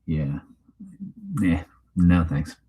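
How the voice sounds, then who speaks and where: A man with a Canadian accent and a fairly low voice hosts a loose live chat. He talks off the cuff in an informal, rambling way, with a teasing streak. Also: flat delivery, very slow, dark tone. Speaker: livestream host. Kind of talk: casual unscripted chat, reading live comments.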